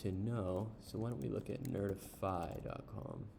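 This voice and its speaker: A man casually reviewing an online service while browsing its pages, unhurried, with frequent ums and uhs. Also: low voice, vocal fry, noisy background. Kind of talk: casual screen-share review.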